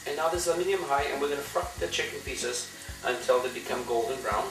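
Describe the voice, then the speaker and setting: A hoarse man who has just had a cold, hosting a home cooking show and giving relaxed step-by-step instructions with many fillers while he cooks at the stove. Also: medium pitch, fast talker. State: hoarse, losing his voice after a cold. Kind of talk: cooking demonstration. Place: home kitchen at a gas stove. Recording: echoey kitchen, mic at a distance, music.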